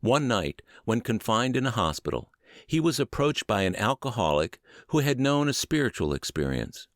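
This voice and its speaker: A middle-aged man reading aloud as scripted audiobook narration, in an earnest, measured and expressive manner. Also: mid-range voice, no room sound, studio quality.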